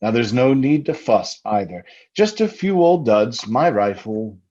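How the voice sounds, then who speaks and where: Radio drama husband male actor: theatrical, taunting, jovial menace, very lively intonation, slightly muffled sound. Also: mid-range voice, a little slow. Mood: breezy. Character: jovially menacing husband, keen hunter, teasing with murder talk. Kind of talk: old-time radio drama acting.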